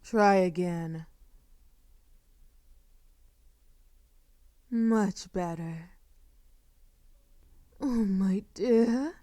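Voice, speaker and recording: A woman playing a seductive, sadistic female vampire in an intimate audio roleplay, commanding and mock-tender, often slipping into a breathy whisper. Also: medium pitch, drawn-out words, dark tone, no echo, clean studio sound. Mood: mock-tender, domineering.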